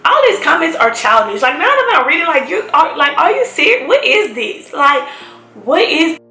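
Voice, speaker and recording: A woman's voice: high-pitched, moderate pace. A young woman telling a story to camera in a casual, slangy, emphatic way, talking straight to her viewers. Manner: animated, slangy, emphatic, addresses viewers as y'all. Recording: echoey room with faint music.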